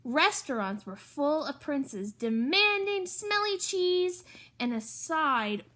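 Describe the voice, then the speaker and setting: Woman, high bright voice, slow pace, expressive children's read-aloud, chatty asides. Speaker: storybook reader.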